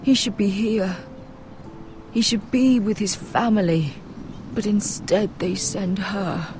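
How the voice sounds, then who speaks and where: A woman's voice: medium pitch, fairly even intonation, takes it slowly, noisy background, lightly acted. Woman acting fierce, commanding noble mother. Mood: anguished. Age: middle-aged. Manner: intense, commanding, solemn. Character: fierce, embittered noble mother, steely and vengeful. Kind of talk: dramatic video game acting. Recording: music behind the voice.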